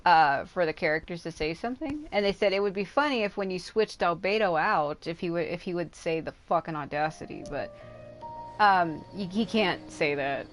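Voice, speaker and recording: A woman's voice: lowish voice, lively intonation, moderate pace. A woman livestreaming a game, giving casual, rambling, offhand commentary with some swearing. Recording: game music playing behind the voice. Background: game music.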